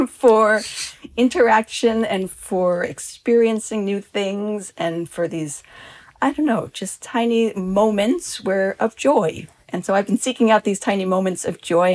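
A woman speaking as a podcast guest, upbeat in a casual chat with frequent ums. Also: medium pitch. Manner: upbeat, warm about her topic, with frequent ums.

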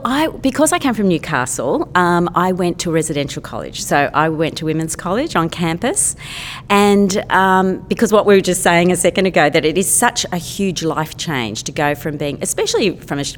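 A woman's voice: deep voice, long reverb tail, a little hiss or hum. A middle-aged woman, a former lawyer and painter, chatting as a podcast guest in an enthusiastic, rambling way with many fillers. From Australia. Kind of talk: casual interview chat.

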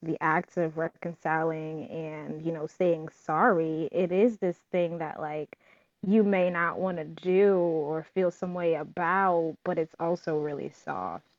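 A woman with a fairly low voice joins a group discussion in a casual, informal way. Her sound is dry and slightly dull, like lo-fi audio. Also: fairly even intonation, measured pace, dry recording. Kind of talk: casual chat.

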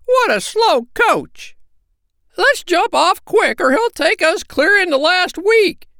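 A very high, squeaky little bird, young and cheeky. The delivery is childlike and exclamatory, like a squabbling chick in a children's storybook, and the pitch leaps about in a dry, close recording. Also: male voice, very animated, slow, vocal fry, pushed and bright, no echo, exaggerated voice. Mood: indignant. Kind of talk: storybook character voice.

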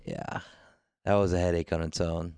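A man's voice: deep voice, fairly even intonation, moderate pace, vocal fry, darkish tone, clear, resonant tone, no room sound, studio quality. A man telling laid-back, rambling stories in a casual podcast chat, often restarting his sentences.

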